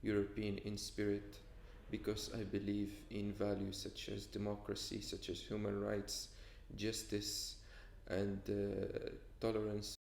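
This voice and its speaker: Man from Tunisia, student, very deep gravelly voice, monotone, echoey room with faint noise. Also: measured pace, few pauses. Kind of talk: casual on-camera interview.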